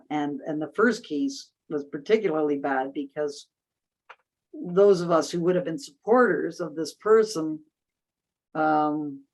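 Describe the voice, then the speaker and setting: A woman's voice: deep voice, darkish tone, rough recording. A woman hosting a casual discussion, speaking thoughtfully with frequent restarts and fillers. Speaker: host.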